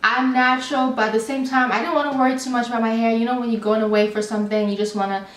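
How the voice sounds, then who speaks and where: A young adult woman telling a storytime vlog in a chatty, animated, rambling way with many fillers. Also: on the high side, quick pace. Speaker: vlogger. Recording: roomy, slightly distant mic.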